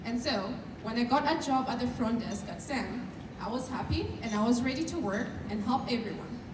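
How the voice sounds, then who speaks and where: Young Kenyan woman, student speaker, measured and earnest; distant mic in a noisy, echoey hall. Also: on the high side, lively intonation. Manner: measured, earnest, sometimes shy. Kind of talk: prepared speech at a dinner. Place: large event hall.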